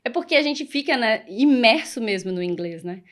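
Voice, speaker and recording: Encouraging woman host, higher voice. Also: animated, normal speed. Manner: encouraging, asks long framing questions. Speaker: podcast host. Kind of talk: casual interview conversation.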